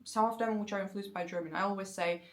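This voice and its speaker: Young Turkish woman explaining grammar. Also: mid-range voice. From Turkey. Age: 20s. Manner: lively, conversational, slows down to spell out example sentences. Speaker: language-learning YouTuber. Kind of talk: casual explanatory talk to camera.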